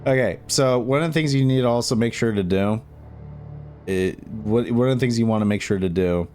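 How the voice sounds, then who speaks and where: Man, lowish voice, informal tutorial host, thinking aloud step by step, music playing behind. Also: darkish tone. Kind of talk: casual step-by-step screen tutorial, talking while working. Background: background music.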